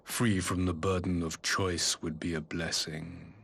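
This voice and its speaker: A man playing an imperious aging emperor in a video game, speaking in grandiose, measured, archaic oratory. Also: deep voice, level tone, gravelly, a bit bright, husky, full character voice. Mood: somber, bitter. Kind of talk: dramatic video game cutscene monologue.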